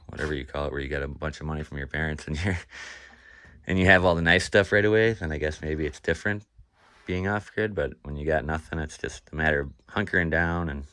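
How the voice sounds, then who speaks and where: A man's voice: extremely low pitch, fast, fairly bright tone, dry recording. A young man with a nasal voice vlogging casually about his off-grid cabin, rambling with lots of fillers and restarts. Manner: rambling, laid-back, many fillers and restarts. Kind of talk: casual talk to camera. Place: outdoors at an off-grid cabin property.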